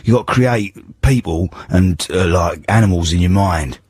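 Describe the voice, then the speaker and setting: A man with a cold voicing a gruff tough-guy film actor, his husky voice deep and rough. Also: moderate pace, a little mellow, dry recording, studio quality.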